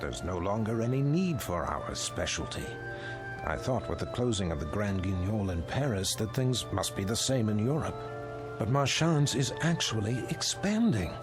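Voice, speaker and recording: An actor playing a practical, brisk museum owner in an audio drama, speaking in a reasoning, persuasive and sometimes dismissive way. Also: male voice, on the low side, big pitch swings, music in the background. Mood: firm, reasoning.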